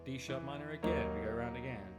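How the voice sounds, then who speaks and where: Man teaching piano over loud piano music. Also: medium pitch, fast talker. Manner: explains chords step by step, counting beats aloud. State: slight cold coming on. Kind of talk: casual piano tutorial. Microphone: USB studio microphone. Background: piano playing.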